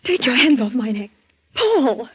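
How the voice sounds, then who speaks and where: A woman's voice: on the high side, very animated, measured pace, husky, heavily acted. An actress playing an anxious but resolute young woman in a 1940s radio thriller, tremulous and earnest, on a tinny recording. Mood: alarmed.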